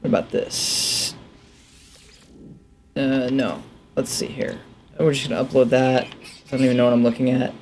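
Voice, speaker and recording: A man in his thirties giving casual game commentary, thinking aloud in a halting, musing, bemused way into a headset mic. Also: medium pitch, little pitch movement, darkish tone, a little hiss or hum. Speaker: gaming video host. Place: indoor room at a desk.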